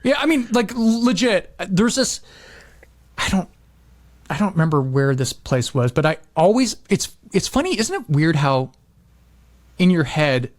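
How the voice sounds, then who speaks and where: A middle-aged man hosts a casual podcast with a high-set voice. His intonation is animated and moves up and down a lot, and his wording is loose and slangy. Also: measured pace, pauses often. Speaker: podcast host.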